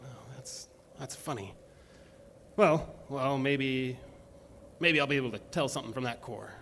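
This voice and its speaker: A man's voice: medium pitch, a little slow, fairly bright tone. A nervy, worn-out geologist in a horror audio drama. He hesitates and doubles back on his words in a mid-range voice. Light ambient noise runs behind him. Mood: uneasy, puzzled. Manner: hesitant, stammering, repeats words. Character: skinny, jittery, weary male oil-field geologist. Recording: noisy track with ambient sound.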